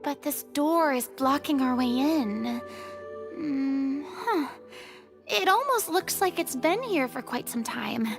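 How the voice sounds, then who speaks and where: A thoughtful female adventurer, high in pitch and slow, musing as she goes. Game music plays clearly behind her. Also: lively intonation, exaggerated voice. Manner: musing, unhurried. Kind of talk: video game character acting.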